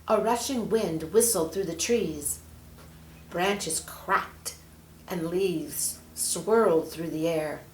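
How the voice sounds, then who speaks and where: A woman reading a children's story aloud expressively, measured and leaning on key words. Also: on the low side, slight background noise. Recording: echoey room.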